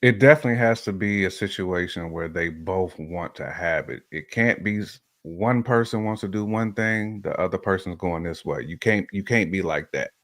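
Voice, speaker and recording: A man with a low-pitched voice shares his views in a casual discussion. He speaks emphatically, circling back and repeating phrases to press his point. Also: moderate pace, few pauses, fairly bright tone. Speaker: podcast guest panelist giving opinions. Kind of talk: casual conversational opinion talk.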